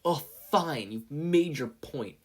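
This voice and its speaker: A man's voice: fairly high pitch, animated, slow, a little mellow, pitched above the performer's own voice. A man voicing a proud, aggressive, hot-headed teenage boy in a story reading, combative and grumbling. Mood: irritated.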